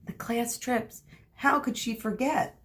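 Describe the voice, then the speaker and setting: A woman's voice: fairly high pitch, takes it slowly, fairly bright tone, voice pushed up. A woman voicing a mythical schoolgirl, wary and easily exasperated, in a storybook read-aloud.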